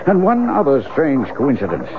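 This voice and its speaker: An actor playing a weary, troubled small-town doctor in a 1970s radio drama, talking in a folksy, musing way. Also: male voice, medium pitch, wildly swooping pitch, moderate pace. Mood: puzzled. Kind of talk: 1970s radio drama acting, conversational dialogue. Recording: tinny, noisy 1970s radio broadcast.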